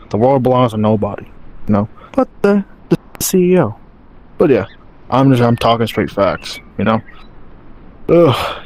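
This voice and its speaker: A man playing a gruff, chain-smoking off-duty villain with a deep, rough smoker's voice, rambling and swearing casually in a game roleplay. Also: darkish tone, close to an everyday voice.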